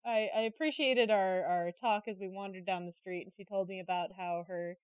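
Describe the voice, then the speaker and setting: A woman's voice: medium pitch, moderate pace, smooth, no room sound, dull audio. A woman being interviewed, telling stories in a casual, chatty and giggly conversational way. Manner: giggly, chatty, animated storyteller. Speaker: interview guest, creative director and artist.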